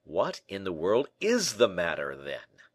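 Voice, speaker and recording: A man voicing a shrewd, brisk gentleman in an audiobook, animated, questioning and a little impatient. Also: low-pitched, slightly rough, no echo, clean studio sound, exaggerated voice. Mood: curious. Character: D'Artagnan, brisk, shrewd, exclaiming gentleman friend. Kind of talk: audiobook character acting.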